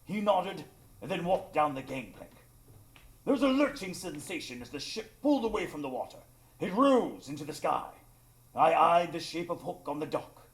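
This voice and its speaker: Male actor as loyal doctor-narrator, radio play: creaky, highish, earnest dramatic storytelling. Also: sing-song, moderate pace. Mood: reflective, grand.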